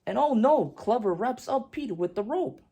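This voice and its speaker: A woman reading a children's picture book aloud in an animated, expressive way with emphatic swings and hushed drops. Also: a little high, moderate pace, husky.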